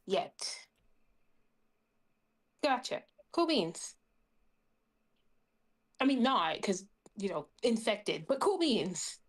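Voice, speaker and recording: A woman's voice: medium pitch, sing-song, extremely slow, pauses often, breathy edge. A woman chatting casually as a player in a tabletop game. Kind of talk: casual chat.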